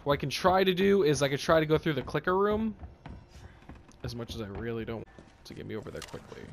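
A man's voice: fairly high pitch, expressive, fast talker, gravelly, slight background noise. A man giving casual, playful video game commentary, goofily talking to himself as he plays. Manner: playful, goofy, talks and sings to himself. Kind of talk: casual let's play commentary.

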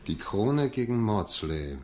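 An actor playing a reminiscing narrator in a radio crime drama, telling the story in a measured way. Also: male voice, on the low side, very animated, darkish tone. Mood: reflective. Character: reflective male narrator recalling an old court case.

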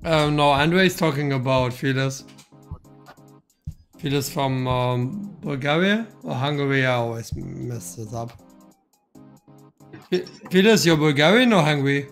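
A man's voice: medium pitch, expressive, unhurried, long pauses, fairly bright tone, soft background music. A German-speaking man streaming a game, chatting loosely with a friend and swearing now and then. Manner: loose, swearing, restarts often. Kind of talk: casual gaming stream chat.

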